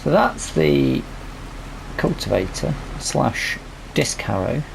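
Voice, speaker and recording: A man with a creaky, mid-pitched voice talks casually through a farming game. He mutters to himself and lets thoughts trail off. Vehicle engine noise runs clearly behind him. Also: wildly swooping pitch, slow. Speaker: gaming video host. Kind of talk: casual let's play commentary. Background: vehicle engine sounds from farming game.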